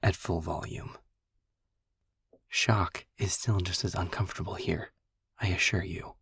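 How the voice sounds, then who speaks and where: Casting: male actor as a weary, sarcastic captive demon for audio roleplay; low, gravel-edged voice, dry eloquence, very close and intimate. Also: steady tone, fairly bright tone, slight background noise, exaggerated voice. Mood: dry, exasperated. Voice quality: husky, breathy, gravelly low voice. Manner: dry, sardonic, articulate, deliberate. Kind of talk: audio roleplay, intimate slow monologue to the listener.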